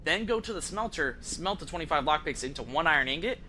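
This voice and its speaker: Very bright-voiced man, casual game streamer. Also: a little high, lively intonation, fast talker, slight background noise. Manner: casual, profane, conversational. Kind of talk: casual gameplay commentary, rambling.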